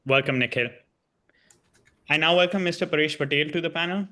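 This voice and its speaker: A man's voice: a little high, brisk, bright, forceful tone. A man introducing the panelists, reading out their introductions in a formal, scripted way.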